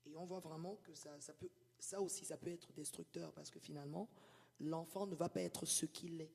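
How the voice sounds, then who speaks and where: A man's voice: a little high, steady tone, normal speed, gravelly, a little mellow, slightly rough, in a large hall. A man hosting a podcast interview, reflective, searching for words and repeating himself. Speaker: host.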